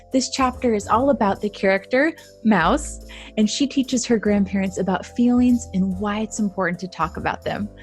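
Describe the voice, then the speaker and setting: Woman explaining a children's read-aloud. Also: mid-range voice. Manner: clear, simple explanations for young children. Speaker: presenter, author reading own book.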